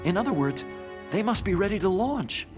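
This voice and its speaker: Game role: idealistic nerdy engineer, lively intonation, earnest and a little defensive. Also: male voice, sits high, moderate pace, music in the background. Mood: determined. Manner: earnest, eager, slightly defensive. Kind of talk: dramatic video game voice acting.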